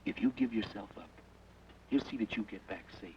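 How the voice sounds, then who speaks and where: An actor playing a tough outlaw who talks tersely and gives orders, in a 1940s radio drama. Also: male voice, fairly low pitch, brisk, slight background noise, natural voice. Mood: grim. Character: tough outlaw gunman, adult man, blunt. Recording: dull old radio, heard from a distance, music behind.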